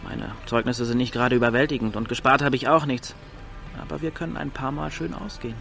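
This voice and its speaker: A man's voice: medium pitch, steady tone, quick pace, vocal fry, music in the background, natural voice. An actor playing a cocky, scheming young small-town man in a radio drama, talking in a slangy, pushy, offhand way. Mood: sullen, defiant. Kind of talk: naturalistic radio drama acting in German.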